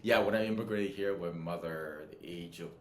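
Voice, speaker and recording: Middle-aged man, interview guest, low deep voice, upbeat chatty storyteller, echoey room. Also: a little fast, a little hiss or hum. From Los Angeles. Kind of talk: casual interview chat.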